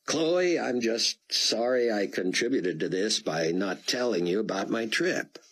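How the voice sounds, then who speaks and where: A calm young man, patient and forgiving, with a breathy low voice , as the narrator plays him. He talks evenly, with a wry lightness. Audiobook dialogue. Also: unhurried, heavily acted. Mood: gentle. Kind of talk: audiobook character voice.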